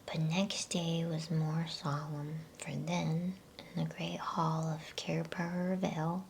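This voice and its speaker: Female ASMR story reader: whispered, very slow delivery, gravelly creak, slight background noise. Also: low-pitched, level tone. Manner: hushed, unhurried, breathy whisper.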